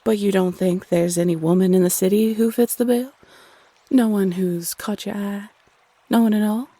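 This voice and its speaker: Woman acting a husky, sultry teasing Cupid. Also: medium pitch, steady tone, warm tone, dry recording, close to an everyday voice. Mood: reassuring, flirty. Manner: sultry, coy, teasing, drawn-out phrasing. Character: teasing, flirty female Cupid spirit, playfully condescending to humans. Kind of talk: intimate roleplay audio acting.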